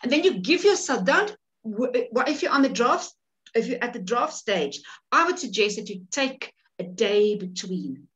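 A woman's voice: on the high side, big pitch swings, moderate pace, a bit bright. A woman from South Africa with a South African accent, whose first language is Afrikaans, talking casually as an author in a workshop over a video call, with many restarts and repeated words. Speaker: author leading a writing workshop. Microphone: video call webcam.

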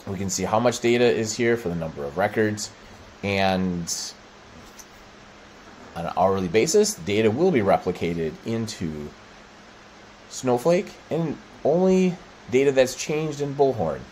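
A middle-aged American man presenting a software walkthrough, explaining step by step in short, halting phrases. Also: on the low side, very animated, a little hiss or hum, rough recording. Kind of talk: screen-share product walkthrough.

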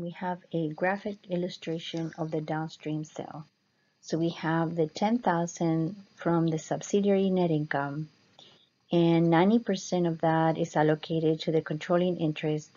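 A woman instructor explaining slides step by step in a measured lecture style. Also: on the low side, warm tone. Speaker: accounting instructor. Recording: dull, muffled lo-fi voice-over.

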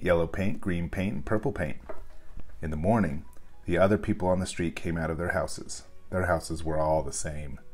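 A man's voice: sits low, darkish tone, husky. A man reading a children's storybook aloud as scripted narration. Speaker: storybook reader.

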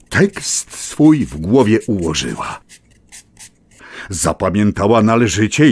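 A man playing a whimsical storyteller, narrating a children's tale theatrically with rhymes and dramatic pauses. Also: on the high side, dry recording, exaggerated voice. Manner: expressive, rhyming, dramatic pauses.

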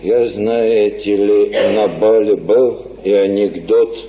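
An actor playing a frail, foolish old prince in a radio play, rambling and sentimental. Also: male voice, lowish voice, fairly even intonation, takes it slowly. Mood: feeble, confused. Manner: doddering, rambling, exclamatory. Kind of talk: theatrical radio drama acting. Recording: dull, echoey radio drama recording.

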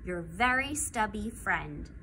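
A woman reading a children's storybook aloud as a weary crayon, in an expressive, deliberate way. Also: mid-range voice. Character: weary, stubby blue crayon. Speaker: school staff member reading aloud. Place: large indoor school room, standing back from camera. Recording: faint room noise.

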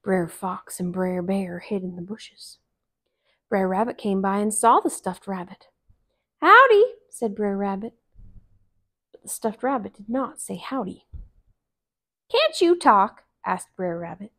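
A woman in her thirties narrating a children's story aloud in an expressive, deliberate way. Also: mid-range voice. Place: small living room, on a couch.

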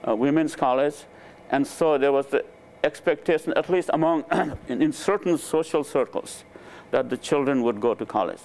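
An older man from Nepal, a native Nepali speaker, recounting his life in a relaxed, hesitant way with many fillers, on a lapel mic in a lecture room. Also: mid-range voice, moderate pace, few pauses, poor audio. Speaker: interviewed scientist. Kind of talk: relaxed conversational storytelling. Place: lecture room with a small audience.

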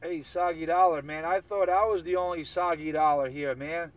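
A man's voice: on the high side, steady tone, normal speed. A man talking casually in CB radio slang, repeating catchphrases as he operates and records. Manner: repetitive, chant-like catchphrases.

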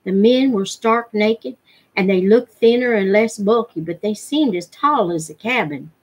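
A middle-aged woman reads a story aloud. Her voice sits at a medium pitch and has a husky, unpolished edge. She is narrating a novel for a read-along. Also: measured pace. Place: living room at home, indoors.

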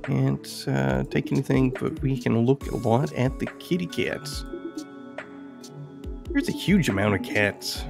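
A man's voice: medium pitch, measured pace, creaky, music in the background. A man giving casual gameplay commentary, musing and thinking aloud as he goes. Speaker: game streamer reviewing indie games.

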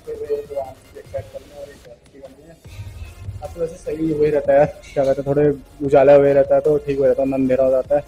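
A man's voice: mid-range voice, little pitch movement, quick pace, mellow, muffled recording, faint music behind. A young man chatting casually and slangily in a gym vlog, rambling loosely with joking banter. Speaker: gym vlogger. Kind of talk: casual vlog chat, teasing banter with friends.

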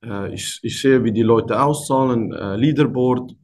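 A man's voice: medium pitch, unhurried, a little hiss or hum. A man in his twenties from Thailand, a guest on a video-call interview, talking hesitantly with fillers. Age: 20s. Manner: hesitant, many fillers, soft laughs. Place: indoor room. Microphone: laptop camera, video call.

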